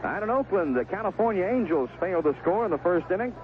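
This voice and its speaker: A man giving live 1970s radio play-by-play of a baseball game, describing each pitch in short, clipped phrases. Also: big pitch swings, quick pace. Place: outdoor ballpark broadcast booth. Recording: noisy, tinny narrowband vintage radio broadcast.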